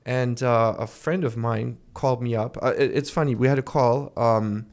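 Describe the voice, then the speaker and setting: Gravelly-voiced man, relaxed guest. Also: medium pitch, moderate pace. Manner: relaxed, lots of "you know" and "um". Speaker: podcast guest. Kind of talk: casual interview answers.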